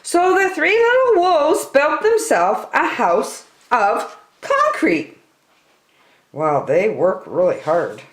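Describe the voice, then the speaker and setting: A woman reading a children's picture book aloud in an expressive, deliberate manner as a story time reader. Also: high-pitched, reverberant. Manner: animated, deliberate.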